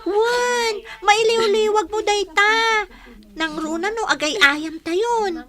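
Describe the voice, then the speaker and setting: A woman's voice: very high-pitched, lively intonation, unhurried, crowd noise. A woman playing a perky, coaxing child playmate in an Ilocano radio drama. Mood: coaxing, upbeat. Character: perky, cajoling child playmate who cheers a friend.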